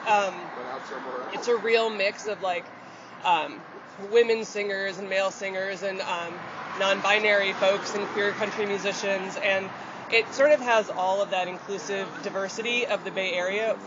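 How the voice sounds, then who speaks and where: Woman country singer from Michigan, somewhat deep voice, relaxed chatty interviewee, muffled street recording. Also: moderate pace. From rural Michigan, USA. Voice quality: deeper voice. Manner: chatty and open, with frequent fillers and light laughs. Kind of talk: casual interview answers. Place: outdoors on a city street. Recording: dull outdoor audio with loud traffic.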